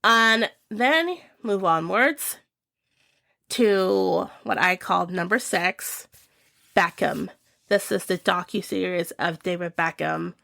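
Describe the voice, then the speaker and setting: Chatty young woman with a gravelly voice. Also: fairly low pitch, takes it slowly, bright, forceful tone, no echo, clean studio sound. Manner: chatty and gushing, many fillers. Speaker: reviewer of films and TV shows. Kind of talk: casual year-end review.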